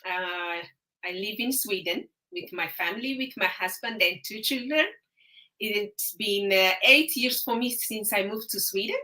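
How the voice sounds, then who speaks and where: A woman in her thirties from Ethiopia, a guest on a live-stream interview over a video call, speaking haltingly with many pauses and fillers. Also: mid-range voice, bright, forceful tone, low-quality recording. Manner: hesitant, halting, frequent uh fillers.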